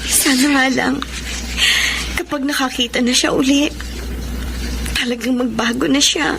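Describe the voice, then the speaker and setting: An actress playing a devoted, long-suffering wife, earnest and emotional, in a Filipino radio drama. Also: female voice, high voice, fairly even intonation, a little slow, gravelly, background noise. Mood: hopeful. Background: dramatic music.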